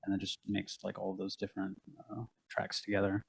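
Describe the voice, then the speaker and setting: A man's voice: low-pitched, completely flat delivery, fairly quick, gravelly, slightly rough, no echo. A man in his late twenties, a musician who records bands, chatting casually in loose, hedging phrases. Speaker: band member and recording engineer, guest. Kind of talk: casual chat.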